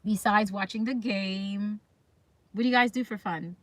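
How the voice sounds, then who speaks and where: Woman, livestream host, casual chat, inquisitive, addressing viewers, medium pitch, dry sound. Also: fairly even intonation, normal speed, dry recording. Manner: chatty, reads comments aloud and puts questions to viewers.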